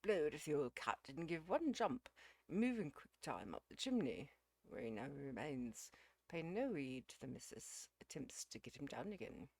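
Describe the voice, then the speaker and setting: A woman's voice: sits low, creaky, dry recording, full character voice. A woman voicing a tranquil male hired hand, telling his tale colloquially and without hurry. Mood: amused. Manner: calm, unhurried, colloquial storytelling. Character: tranquil, rambling working-class English hired man, male servant.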